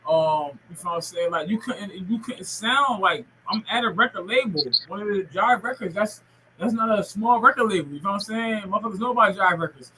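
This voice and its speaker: A man's voice: high-pitched, a little fast, pushed and bright, a little hiss or hum, poor audio. A man in his forties hosting a podcast in casual, rambling, slangy and profane talk. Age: 40s. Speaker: podcast host. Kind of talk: casual interview chat.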